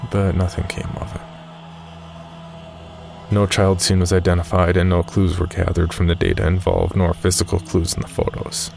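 A man reading a scripted horror story as narrator, grave and measured. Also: low-pitched, a little mellow. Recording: clear music bed under narration.

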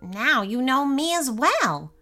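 A woman's voice: sits high, very animated, slow pace, pushed and bright, faint music behind, voice pushed up, heavily acted. A woman voicing a friendly, polite male werewolf in a children's storybook, easygoing and grateful. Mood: reassuring.

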